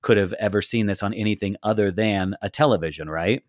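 Thirties guy reminiscing slow and thoughtful, dry close mic. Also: on the low side, no room sound. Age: 30s. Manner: reflective storyteller, measured, repeats words. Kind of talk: casual chat.

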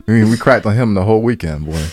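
Low-voiced man bantering on a podcast. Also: quick pace, darkish tone, no echo. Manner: slangy, repeats words and phrases for emphasis. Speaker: podcast co-host. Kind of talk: casual banter and storytelling.

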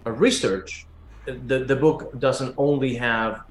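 Argentine-accented male musician, native Spanish speaker, halting conversational interview guest, mid-range voice, roomy echo. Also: medium pitch, fairly even intonation. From Argentina, raised in Buenos Aires. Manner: halting, repeats words as they search for phrasing. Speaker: musician guest, pianist and teacher. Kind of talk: conversational interview answers, telling stories. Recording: echoey room sound.

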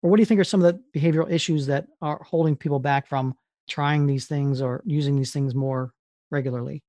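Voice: mid-range voice, fairly even intonation, a little fast, dark tone, no echo. An interview host asking long, meandering questions with restarts. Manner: rambling, searching questions with restarts. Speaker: podcast host.

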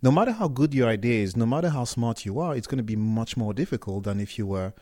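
A man's voice: medium pitch, expressive, fast, warm tone, no room sound, clean studio sound. A man from Cameroon, a startup founder, answering interview questions candidly with hesitant restarts.

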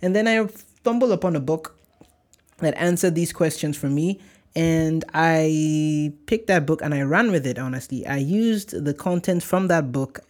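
A man's voice: a little high, darkish tone. Upbeat young man hosting a podcast. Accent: Nigerian, light. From Nigeria. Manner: upbeat, chatty, rambling with fillers. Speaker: podcast host. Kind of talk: casual solo podcast monologue.

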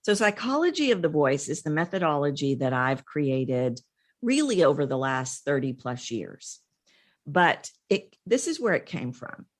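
A middle-aged woman, telling her story as an interview guest in an expressive, emphatic way with dramatic pauses. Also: low voice. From Texas, United States.

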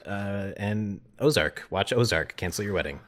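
Guy with a low voice chatting on a comedy podcast, riffs on silly bits. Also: lively intonation, normal speed, a bit bright. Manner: joking, riffing with silly made-up bits. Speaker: podcast co-host. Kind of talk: casual comedic chat.

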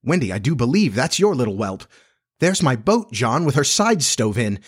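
A man voicing excitable young children in a storybook, eager and exclaiming. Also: mid-range voice, unhurried, no room sound, clean studio sound. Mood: excited.

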